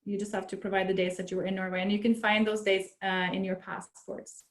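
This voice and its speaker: A woman consular officer answers questions in a relaxed, helpful way, pitched at a middle level. Her voice sits in a noticeably echoey room and comes through a video call. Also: fairly quick. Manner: relaxed, helpful, uses fillers. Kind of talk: webinar Q&A answers.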